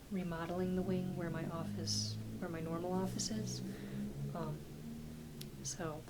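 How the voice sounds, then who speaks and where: A woman playing a kind, reassuring doctor in a soft-spoken ASMR roleplay, talking in a gentle, hesitant, conversational way. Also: fairly low pitch, noisy background, lightly acted. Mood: kind, welcoming. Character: kind, reassuring doctor who treats werewolves.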